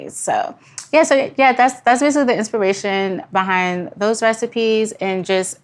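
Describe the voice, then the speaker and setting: A woman's voice: medium pitch, moderate pace, smooth. A woman chatting informally and candidly as the guest in a podcast interview. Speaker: podcast guest, brewery founder. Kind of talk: casual interview chat.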